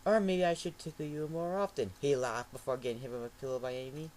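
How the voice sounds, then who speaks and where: A man reading a fan story aloud in a mocking amateur dramatic reading, with ironic asides and shouted outbursts. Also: medium pitch, fairly even intonation, fast, slight background noise, poor audio. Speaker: reader.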